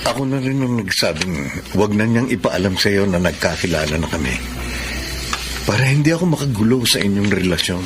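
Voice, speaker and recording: An actor playing a heartbroken, self-sacrificing jilted lover in a Filipino radio melodrama, solemn and emotive with dramatic pauses and a Filipino accent. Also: male voice, on the low side, animated, background noise, close to an everyday voice. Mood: solemn, resigned. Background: melodramatic background music.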